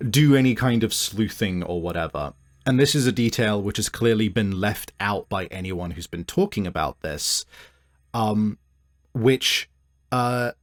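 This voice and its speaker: A man improvising narration as a tabletop game master, explaining things in a halting, wordy way. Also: on the low side.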